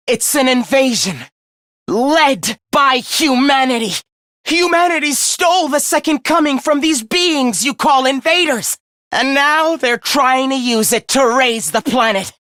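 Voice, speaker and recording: A man's voice: very high voice, sharp tone, no room sound, clean studio sound, exaggerated voice. An actor voicing a scornful, zealous young male video game villain who despises humans, with a theatrical, contemptuous delivery. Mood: cold, declaring. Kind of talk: video game character voice acting.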